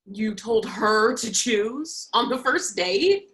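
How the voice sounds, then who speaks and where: Woman acting young college roommate, fairly high voice, very lively intonation, chatty, naive, opinionated, expressive. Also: slow, poor audio. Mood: bubbly, nosy. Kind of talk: virtual play reading, naturalistic dialogue.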